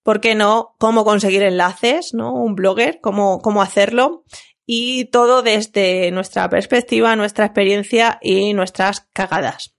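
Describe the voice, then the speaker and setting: A woman's voice: mid-range voice, gravelly. A woman from La Mancha with a half Extremaduran, half Andalusian accent, co-hosting a casual podcast chat, laughing often and restarting her sentences. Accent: Spanish, part Extremaduran, part Andalusian. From Castilla-La Mancha, Spain. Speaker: podcast co-host.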